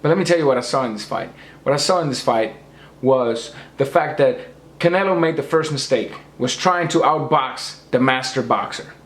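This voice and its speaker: A man's voice: medium pitch, normal speed, a bit bright, echoey room. A man losing his voice, ranting emphatically about boxing and lecturing his viewers. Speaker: boxing commentator. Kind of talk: opinionated rant to camera.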